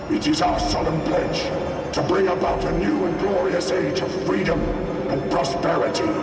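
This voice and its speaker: An actor playing a zealous rebel soldier giving a grandiose, solemn propaganda broadcast to the people. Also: male voice, sits high. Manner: grandiose, declamatory. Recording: echoey cavernous broadcast, far off, loud music.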